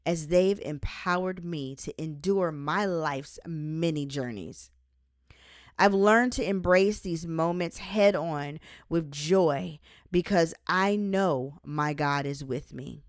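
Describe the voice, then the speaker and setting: A woman narrates a devotional audiobook at an unhurried pace. Their voice sits a little low for a woman, and the delivery is deliberate, with emphatic phrasing. The sound is dry and close, with very little room on it. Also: dry recording. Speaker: author reading their own book aloud.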